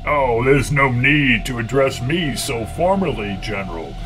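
Guy doing a slow menacing old tyrant, gloating and cold, radio drama with music playing under it. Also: medium pitch, expressive, close to an everyday voice. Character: ancient gloating male tyrant, cold and vengeful.

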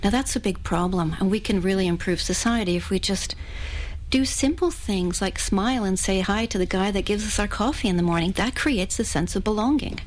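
A woman's voice: medium pitch, brisk, rough recording. Canadian woman, thirties, mixed accent, radio guest: thoughtful, friendly, fillers and restarts. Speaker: writer being interviewed. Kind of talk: radio interview. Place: radio studio.

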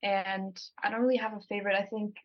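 A woman's voice: mid-range voice, level tone, fast, muffled recording. A young adult woman born in Germany, a guest talking in a casual conversation, thoughtful and hesitant with many fillers.

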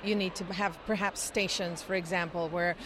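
Middle-aged woman, low-pitched, street hum. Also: steady tone, moderate pace. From Scotland. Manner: explanatory, with frequent fillers. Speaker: interviewee, chorus singer. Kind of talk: interview answers.

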